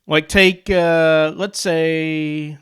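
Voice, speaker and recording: A man hosting a casual audio gear demo, explaining things piece by piece in short, halting phrases. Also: a little high, fairly even intonation, a bit bright, clean tone. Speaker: YouTube host presenting radio and audio gear.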